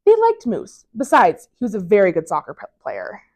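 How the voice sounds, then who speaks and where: A woman reading a children's picture book aloud as a library storytime reader, with expressive storytelling. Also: medium pitch, moderate pace.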